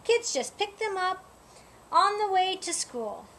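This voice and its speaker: Woman doing a bossy little worrier, high and slow. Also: sing-song, fairly bright tone, slight background noise, full character voice. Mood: cautioning. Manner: insistent, cautioning, deliberate. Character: worried, bossy small male animal best friend.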